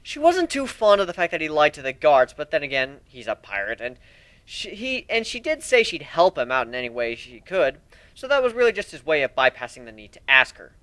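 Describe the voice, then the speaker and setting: Guy reading a fanfic story dramatically, really animated, dry close sound. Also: a little high, a little fast, no room sound. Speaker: amateur narrator. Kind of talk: dramatic reading of fan fiction.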